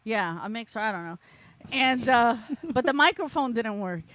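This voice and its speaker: A woman's voice: fairly high pitch, moderate pace, slight background noise. A woman comedian from El Salvador, raised in Florida, telling a story in a casual podcast chat, laughing as she acts out her reactions. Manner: animated, laughs through stories, acts out her own reactions. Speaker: stand-up comedian, podcast guest. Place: podcast studio.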